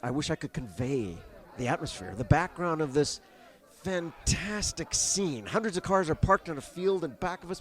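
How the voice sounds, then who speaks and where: A man's voice: on the high side, natural voice. A man playing a live radio field reporter, stammering and increasingly frantic. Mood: tense. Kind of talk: 1930s radio drama acting.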